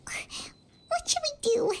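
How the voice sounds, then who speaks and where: A woman voicing a tiny, childlike fairy companion in a video game, squeaky, cutesy and nervously chatty. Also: very slow, in a whisper. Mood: worried. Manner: cutesy, speaks of herself in third person.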